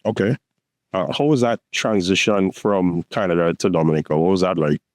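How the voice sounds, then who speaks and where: A man's voice: sits low, moderate pace, no room sound, clean studio sound. A man hosting a podcast, introducing the show and asking friendly, upbeat questions. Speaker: podcast host.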